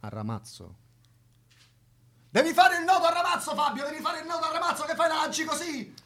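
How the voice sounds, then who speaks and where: A man's voice: extremely high pitch, sing-song, brisk, fairly bright tone, heavily acted. An actor playing a fussy, dramatic young male militant in an Italian radio drama, insistent and repetitive. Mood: bossy, patient.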